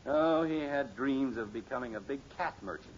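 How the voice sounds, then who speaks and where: A man's voice: mid-range voice, expressive, normal speed, natural voice. An actor playing a patient, reasonable middle-aged American father in a radio sitcom, with a folksy, emphatic way of reasoning. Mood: amused. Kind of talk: 1950s radio sitcom acting. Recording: muffled, noisy old radio recording.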